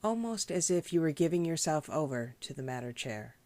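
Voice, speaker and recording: A woman leading a guided meditation as a teacher, speaking in a gentle, measured, instructional way. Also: sits low, very animated, no room sound. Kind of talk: guided body scan meditation.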